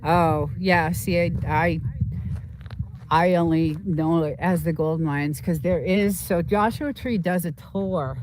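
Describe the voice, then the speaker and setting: A woman's voice: lowish voice, slow pace, background noise. A woman host chatting casually and informally on a livestream, rambling and often repeating herself as she talks to viewers.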